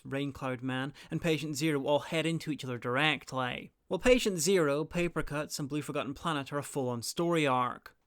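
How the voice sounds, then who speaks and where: A man's voice: fairly high pitch, a bit bright, clean tone, no echo, clean studio sound. A man delivering scripted review narration as a host, with wry, opinionated asides.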